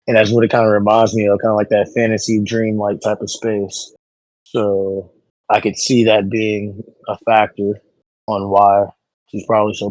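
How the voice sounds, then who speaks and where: Low-voiced man, dry close mic. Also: no echo, poor audio. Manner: frequent ums, hesitant and rambling. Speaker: podcast host. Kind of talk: casual album review chat.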